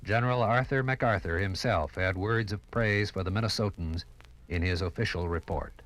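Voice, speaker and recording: A man narrating a 1950s radio history program in a measured, dramatic way. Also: deep voice, fairly bright tone, a little hiss or hum.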